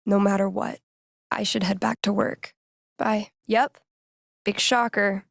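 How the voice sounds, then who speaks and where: A woman's voice: medium pitch, level tone, takes it slowly, vocal fry, dry recording, studio quality. An actress playing a wry, casual young woman in a video game, teasing and loyal. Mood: subdued, caring. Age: young adult. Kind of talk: naturalistic video game dialogue acting.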